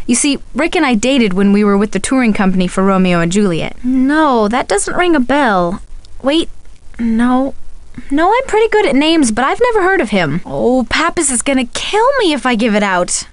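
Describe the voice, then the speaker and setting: A young soap actress in a mystery video game. She is friendly and earnest, with emotion close to the surface. Her voice sits on the high side and moves freely up and down, recorded dry. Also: no room sound.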